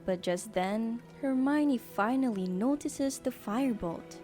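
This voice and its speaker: A woman's voice: on the high side, slow, dark tone, soft background music. A woman reading scripted narration as the narrator of an audio drama.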